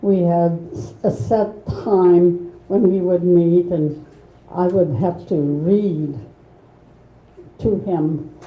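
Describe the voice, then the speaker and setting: Slow, earnest older woman author. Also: lowish voice, fairly even intonation, echoey room, low-quality recording. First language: Low German. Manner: slow, earnest, soft-spoken. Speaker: author guest. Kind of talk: reflective conversation at a book event. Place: bookstore event space.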